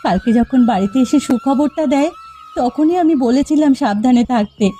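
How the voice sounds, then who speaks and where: A woman's voice: sits high, normal speed, mellow, soft background music, lightly acted. A woman playing a grieving village widow in a cartoon drama, lamenting in a plaintive, despairing manner.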